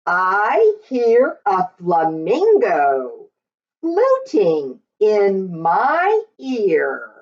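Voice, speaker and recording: Very slow, lively woman reading to children. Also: mid-range voice, muffled recording. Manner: sing-song, drawn-out reading with questions to young listeners. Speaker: teacher reading picture books aloud. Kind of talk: read-aloud storytime for young children.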